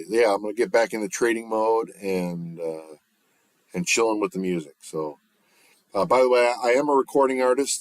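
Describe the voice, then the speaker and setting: A man with a bass voice chatting casually on his livestream, relaxed and rambling with lots of fillers and restarts. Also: no room sound. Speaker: livestreamer and recording artist. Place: home, off-grid.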